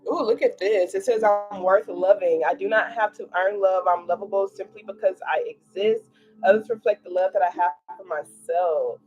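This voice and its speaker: Muffled, low-fidelity stream audio with music behind it carries the voice of a woman in her twenties. She reads tarot and affirmations live at a middling pitch. Her delivery is conversational and reassuring, addressed straight to the people watching. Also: moderate pace, darkish tone. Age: 20s. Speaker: tarot reader hosting a livestream. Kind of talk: casual live tarot and affirmation reading, chatting with viewers. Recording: dull lo-fi livestream audio.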